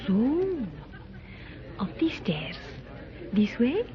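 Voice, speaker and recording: Purring schemer, coaxing, muffled old radio. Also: female voice, on the high side, sing-song, very slow, crowd noise, exaggerated voice. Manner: purring, coaxing, speaks of herself by name. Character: mysterious seductive foreign woman, scheming adventuress. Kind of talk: 1930s radio acting. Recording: dull 1930s radio transcription.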